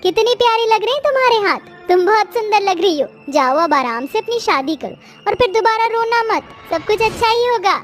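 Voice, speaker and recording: A woman voicing a kind, emotional young mother who sells bangles, in an earnest, expressive storybook style. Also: extremely high pitch, normal speed, few pauses, faint music behind, pitched above the performer's own voice. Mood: reassuring.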